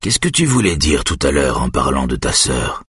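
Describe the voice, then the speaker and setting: A man voicing a calm, patient male police officer who keeps an even, gently coaxing tone. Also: extremely low pitch, normal speed, slightly rough, no echo, clean studio sound, pitched below the performer's own voice, full character voice. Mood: probing.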